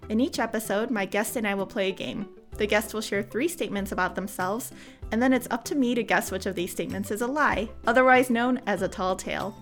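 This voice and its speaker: A woman's voice: mid-range voice, a little fast, faint music behind. A young woman hosting a podcast interview, with an upbeat, friendly presenter manner. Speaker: podcast host.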